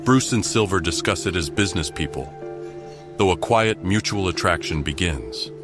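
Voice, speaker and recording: A man's voice: low voice, moderate pace. A man reading dramatic scripted narration for an audio drama. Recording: loud music underneath.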